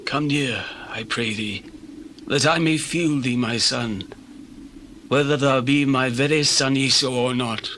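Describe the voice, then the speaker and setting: An actor playing an aged, grave patriarch in a dramatized scripture reading, with formal, deliberate delivery. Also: male voice, medium pitch, sharp tone. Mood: doubtful, searching. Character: aged, dim-eyed patriarch, grave and questioning. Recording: faintly noisy old recording.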